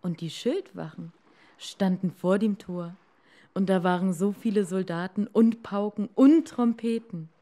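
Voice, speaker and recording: A woman narrating a fairy tale for children in a measured way, with dramatic pauses. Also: medium pitch, warm tone. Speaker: storyteller.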